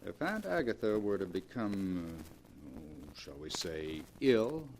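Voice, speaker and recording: An actor playing a greedy, scheming nephew in 1940s radio style, smooth and calculating, on a vintage recording. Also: male voice, deep voice, big pitch swings, slow, darkish tone, a little hiss or hum, heavily acted.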